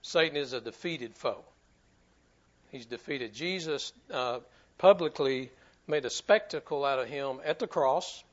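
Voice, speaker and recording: A man's voice: medium pitch, a little slow. Male pastor, folksy sermon, repeats himself, muffled lo-fi sound. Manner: folksy, repeats himself, stumbles over words.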